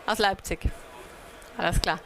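A woman's voice: mid-range voice, fairly even intonation, unhurried, fairly bright tone, soft background music, poor audio. A woman giving an informal, hesitant talk to a small group in a room, with many fillers. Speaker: workshop presenter.

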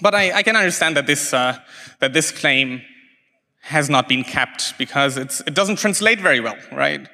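A man whose first language is German, giving a conference talk in a halting way with many restarts and fillers. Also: on the high side, lively intonation, creaky, pushed and bright. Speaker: conference speaker. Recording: hall reverb from a talk venue.

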